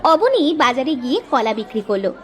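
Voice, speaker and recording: A woman's voice: high-pitched, big pitch swings, normal speed, a faint crowd. A woman giving scripted narration of a children's moral story.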